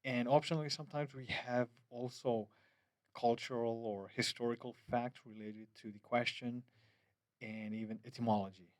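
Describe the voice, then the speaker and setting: A man's voice: mid-range voice, steady tone, normal speed, no room sound. Hesitant man. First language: Finnish. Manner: hesitant, many fillers and restarts, loose phrasing. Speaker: app developer. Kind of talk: screen-recorded app demo.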